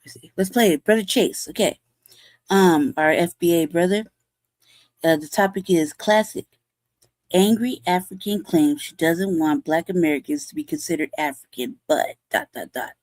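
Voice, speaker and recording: Low-voiced, profane, blunt woman host. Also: normal speed, darkish tone, no echo. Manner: blunt, profane, laughing, rambling asides. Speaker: show host. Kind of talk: profane casual commentary.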